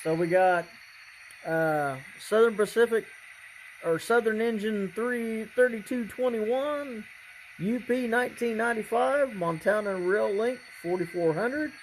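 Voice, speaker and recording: Slow-talking hobbyist, noisy recording. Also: mid-range voice. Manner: halting, rambling, frequently clears throat. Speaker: hobbyist presenter showing a model train layout. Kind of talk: casual unscripted demonstration.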